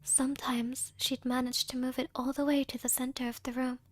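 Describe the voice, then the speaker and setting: A woman telling an eerie ASMR haunted farmhouse tale as a hushed narrator, in a soft breathy half-whisper. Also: high-pitched, little pitch movement, fairly quick, voice pushed down. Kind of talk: ASMR scary storytelling.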